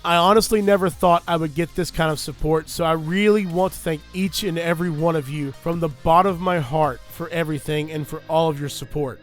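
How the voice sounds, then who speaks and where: A young man with a fairly high voice talks through his gameplay in an upbeat, chatty way. His voice sounds a little off from a lingering cold. Faint music plays behind him. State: recovering from a cold. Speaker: gaming YouTuber. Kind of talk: casual gameplay commentary and loadout guide.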